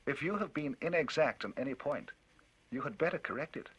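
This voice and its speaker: Brief: a man doing a serious lawyer, husky, careful probing questions. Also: on the high side, animated, a bit bright, dull audio, pitched above the performer's own voice. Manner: grave, probing, measured. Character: grave, measured lawyer, middle-aged man.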